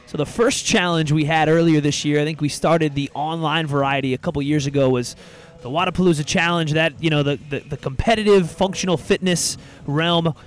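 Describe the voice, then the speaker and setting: A man hosting a podcast, upbeat and energetic, leading an interview. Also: on the high side, fairly quick, hardly any pauses, faint music behind. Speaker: podcast host.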